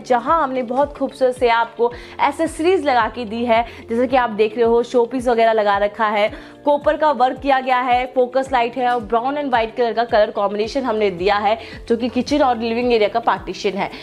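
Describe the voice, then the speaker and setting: High-voiced young woman giving an upbeat home tour. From India. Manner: enthusiastic, gushing salesy praise. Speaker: real estate tour presenter. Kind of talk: property walkthrough presentation. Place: large furnished indoor apartment.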